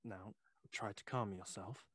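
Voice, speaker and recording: An actor playing a young, clear-minded gentleman visitor in a Victorian ghost story, formal and reassuring, urging calm. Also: on the low side, unhurried, no echo, clean studio sound. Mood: alarmed, firm.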